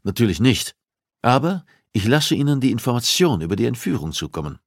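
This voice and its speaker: A man voicing a cold, commanding criminal profiler in an audiobook, arguing with deliberate insistence. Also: medium pitch, sing-song, no room sound, clean studio sound, voice pushed up. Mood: cool, insistent. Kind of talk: audiobook dialogue acting.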